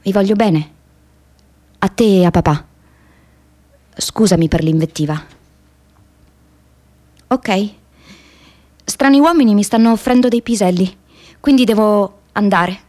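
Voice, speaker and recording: A woman's voice: mid-range voice, slow pace, pauses often, darkish tone. An actress playing an idealistic, disillusioned young woman activist in a radio theatre monologue, speaking in an earnest, reflective, confiding way. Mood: tender, apologetic.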